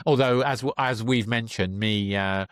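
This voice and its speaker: A man's voice: on the low side, moderate pace, fairly bright tone, smooth, no echo, studio quality. A man hosting a casual conversational interview, feeling his way through sentences with tentative, circling phrasing. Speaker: podcast host, interviewer.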